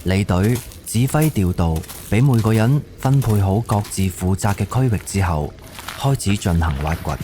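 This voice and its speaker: A man's voice: lowish voice, moderate pace, background noise. A man with a Cantonese accent narrating a scripted story for an audio drama.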